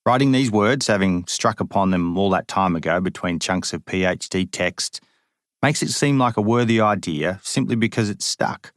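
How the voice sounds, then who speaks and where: A man reading a first-person memoir aloud as an audiobook, in an even, measured way with dry humour. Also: low voice, no room sound. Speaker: audiobook reader.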